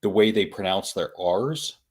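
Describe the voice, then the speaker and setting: A man co-hosting a casual live-streamed podcast, talking in a measured, explanatory way with frequent hesitations. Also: deep voice, expressive, darkish tone, slightly rough. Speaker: podcast co-host.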